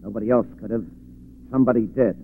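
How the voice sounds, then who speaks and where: A man's voice: on the low side, steady tone, fast talker, slight background noise, close to an everyday voice. A man acting a menacing, taunting escaped gangster in a 1940s radio drama, with tough, mocking, slangy talk. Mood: suspicious. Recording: tinny, narrow-band 1940s radio recording.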